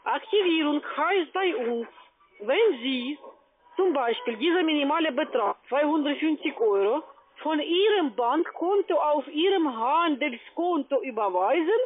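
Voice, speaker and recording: Over a tinny phone line comes a high-voiced woman with a foreign accent. She works as a call-center agent, pressing her sales pitch in non-native phrasing and checking in again and again. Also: lively intonation, measured pace. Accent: foreign accent in German. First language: not German. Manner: pushy, repeatedly tagging phrases with "ja".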